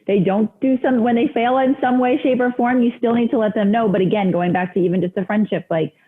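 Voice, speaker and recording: A woman's voice: on the high side, steady tone, fast talker, phone-quality sound. A woman podcast host in casual chat, encouraging and reflective, talking in long run-on thoughts.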